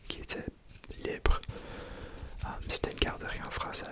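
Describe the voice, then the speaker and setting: A young man from Ontario with a Franco-Ontarian French accent, whispering softly and gently as an ASMR creator. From Ontario, Canada. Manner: soft unvoiced whisper, slow and gentle.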